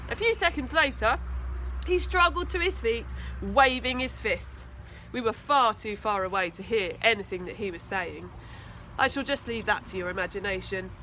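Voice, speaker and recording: A woman narrates a children's story expressively. The voice is fairly high and very bright, with a little background noise. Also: normal speed. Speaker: school teaching assistant reading aloud.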